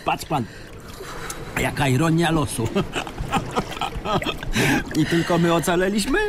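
A man's voice: on the high side, measured pace, noisy background, lightly acted. A man playing a sardonic, self-assured plane-crash survivor muttering colloquially while adrift at sea in a radio play. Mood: sarcastic. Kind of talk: Polish radio play dialogue. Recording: loud splashing water sound effects behind. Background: sea water sounds.

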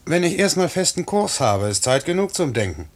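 Breezy night-flight pilot. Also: male voice, on the high side, very animated, moderate pace. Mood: tender, teasing. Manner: breezy, joking, colloquial. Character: cheerful, teasing night-mail pilot, tender with his young wife. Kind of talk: 1950s German radio play acting.

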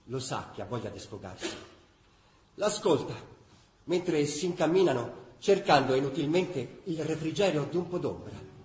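An actor playing a storyteller who narrates a wartime chronicle in scripted radio theatre. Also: male voice, a little high, animated, moderate pace, darkish tone, roomy sound, a little hiss or hum, natural voice. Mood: narrating.

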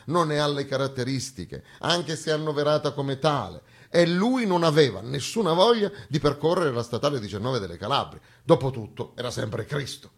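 A man delivers a theatrical comic monologue with emphatic, ironic phrasing and dramatic pauses. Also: mid-range voice, animated, gravelly, slightly rough. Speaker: stage actor performing a satirical monologue.

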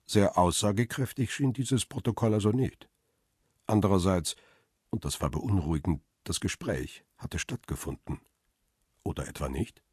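A man narrating a radio crime drama in the first person, telling the story in a calm, steady, reflective way. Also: sits low, moderate pace, husky, no echo, studio quality. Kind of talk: scripted radio play narration.

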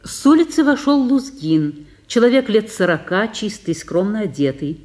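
A woman's voice: mid-range voice, sing-song, moderate pace, warm tone. A woman narrator giving scripted narration of a play's stage directions.

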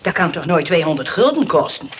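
A woman's voice: fairly low pitch, big pitch swings, fairly quick, a little hiss or hum. An actress playing a sensible, motherly rest-home nurse in a radio comedy, reasoning with people and easily exasperated. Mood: concerned, exasperated. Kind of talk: radio comedy drama acting.

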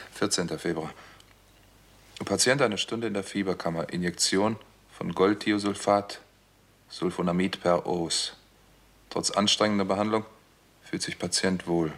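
A hard-boiled doctor investigating a case in a vintage radio thriller. His voice is deep, and he speaks in clipped, matter-of-fact sentences. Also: fairly bright tone. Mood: absorbed, clinical. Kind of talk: 1960s German radio crime drama narration and dialogue.

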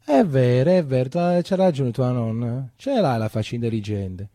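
Man playing a mellow, kindly teacher. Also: mid-range voice, expressive, moderate pace, no echo, studio quality, lightly acted. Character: kindly, calm male teacher and partisan.

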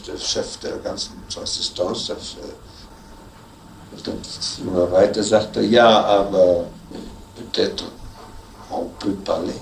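An echoey, noisy archive tape of a man with a fairly low voice, recorded at some distance. He recollects spontaneously, halting and repeating himself with emphasis. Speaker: former wartime officer recounting events.

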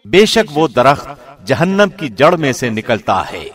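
A man narrates translated scripture in a formal, measured way. His pitch is mid-range for a man. The voice carries a long reverberant tail. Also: sing-song, fairly bright tone, faint music behind. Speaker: translation reader, radio host.

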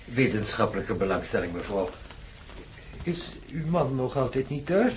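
An actor playing a courteous professor in a radio drama, speaking in a grave, measured, formal manner with a husky voice. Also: male voice, mid-range voice, very animated, slight background noise, natural voice, poor audio. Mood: courteous concern. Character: grave, courteous male professor explaining ominous mysteries.

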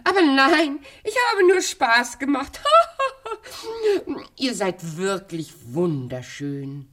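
A woman's voice: fairly high pitch, expressive, drawn-out words, a bit bright, heavily acted. An actress playing a vain, scheming and imperious evil queen in a theatrical fairy-tale radio play. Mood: sly, wheedling. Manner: wheedling, imperious, dramatic.

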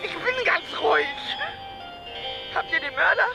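A woman's voice: very high-pitched, animated, measured pace, vocal fry, over loud music, full character voice. An actress playing an angry, demanding, indignant woman who has been robbed, in a radio drama. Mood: sullen, angry. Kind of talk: German radio drama acting.

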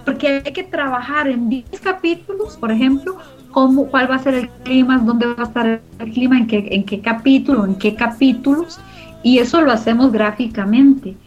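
A woman's voice: high-pitched, normal speed, darkish tone. A woman explaining her radio production work in an interview, searching for her words as she goes. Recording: muffled sound with music underneath. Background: music.

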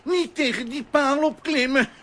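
A man's voice: extremely high pitch, unhurried, pushed and bright, exaggerated voice. An actor playing a simple, kindly balloon seller who calls his wares and stammers nervously, in a children's radio play. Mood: anxious. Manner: street-vendor calls, stammers when pressed.

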